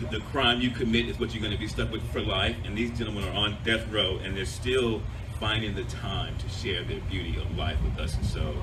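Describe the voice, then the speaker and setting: A man presents poetry to a live gathering in a measured, earnest manner. His voice is on the low side. The microphone sounds distant, and there is clear noise behind him. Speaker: poetry reader, radio host. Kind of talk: spoken poetry reading with informal introductions. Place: live event with audience.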